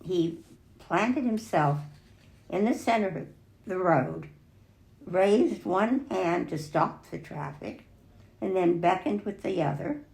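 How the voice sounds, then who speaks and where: An older woman reading a children's picture book aloud expressively, pausing to remark on the pictures. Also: lowish voice, gravelly, a little mellow, low-quality recording. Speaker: storybook reader.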